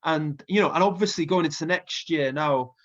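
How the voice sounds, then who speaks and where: A Welsh man with a slight cold talks in a loose, rambling way, full of ums and asides. His voice sits on the higher side for a man. The sound is dull and muffled, like lo-fi call audio. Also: normal speed. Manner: chatty, rambling with many fillers. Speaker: festival co-founder and music booker. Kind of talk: casual interview chat.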